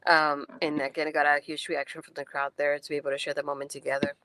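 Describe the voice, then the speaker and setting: Low-voiced chatty woman host. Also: little pitch movement, fast, fairly bright tone, no room sound. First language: not English. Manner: chatty and enthusiastic, many fillers. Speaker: post-show host. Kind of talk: casual wrestling event recap.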